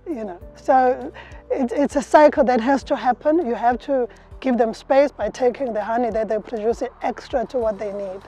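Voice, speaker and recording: Mellow-voiced woman outdoors. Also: a little high, moderate pace, breathy edge, faint music behind. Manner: explanatory, with frequent fillers and restarts. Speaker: beekeeper. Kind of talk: interview answers. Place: outdoors in an orchard.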